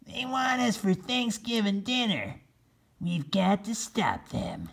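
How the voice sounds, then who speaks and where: A man acts out a defiant wild turkey father from a storybook. His voice is pitched very high and he stretches out his urgent declarations slowly. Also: wildly swooping pitch, vocal fry, fairly bright tone, full character voice. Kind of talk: storybook character voice.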